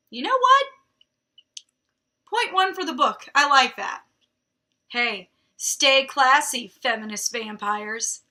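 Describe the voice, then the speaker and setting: A woman's voice: sits high, pushed and bright. A young adult woman hosting a book show, reading a novel aloud and breaking off for wry, drily mocking commentary. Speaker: book reviewer host.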